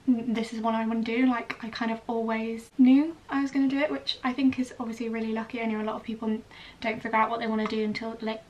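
A woman's voice: a little high, little pitch movement, fast talker, a little mellow, lo-fi sound. A young woman from Wales chatting casually to camera in a get-ready-with-me vlog, recorded in a bedroom. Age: young adult. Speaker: vlogger, ballet dancer in training. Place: small bedroom indoors. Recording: slightly distant mic, clearly noisy room.

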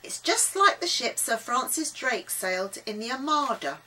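Woman as an excitable, high-voiced boy adventurer. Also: moderate pace, a little hiss or hum, heavily acted, low-quality recording. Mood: excited. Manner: breathless, exclaiming eagerly. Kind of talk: children's storybook character voice.

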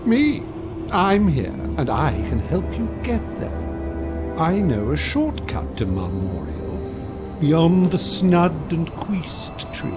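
A smug trickster creature in a video game. He talks slowly and languidly, with a fairly high voice that slides widely up and down. He sounds teasing and sly over loud music. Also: wildly swooping pitch, heavily acted. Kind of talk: video game character acting.

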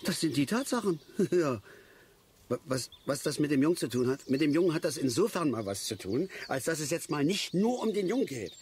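An actor playing a stubborn, self-pitying middle-aged angler who grumbles and stammers self-righteously in a naturalistic radio play. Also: male voice, fairly high pitch, very animated, brisk, darkish tone, a little hiss or hum. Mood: defensive, aggrieved.

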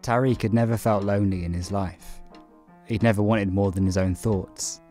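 A man with a very deep voice narrates in a calm, measured and slightly wry tone over soft music. It is a home-recorded audio play. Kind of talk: scripted narration. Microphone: home recording booth.

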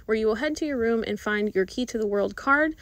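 A woman's voice: a little high, fairly quick, no echo. Upbeat woman narrating a travel vlog. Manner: upbeat, explanatory. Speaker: vlog host.

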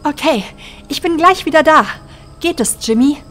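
Woman as high-voiced feisty female deputy. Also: moderate pace, fairly bright tone, soft background music. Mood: brisk. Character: tough, loyal female sheriff's deputy. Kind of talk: German horror audio drama acting.

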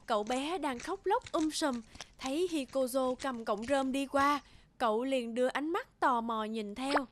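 A woman's voice: high-pitched, measured pace, a little hiss or hum. A woman narrating a scripted children's folktale as a storyteller. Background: background music.

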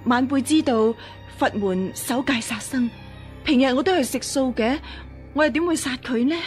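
An actress playing a scheming impostor empress dowager in a radio drama, deferential, hesitant and pleading. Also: female voice, high-pitched, moderate pace, soft background music, lightly acted. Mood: defensive. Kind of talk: Cantonese radio drama acting.

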